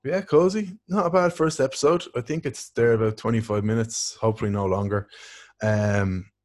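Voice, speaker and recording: A man's voice: mid-range voice, normal speed, a little mellow. A young man co-hosting a podcast, rambling and joking with a lot of swearing in loose banter. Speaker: podcast co-host. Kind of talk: casual chat.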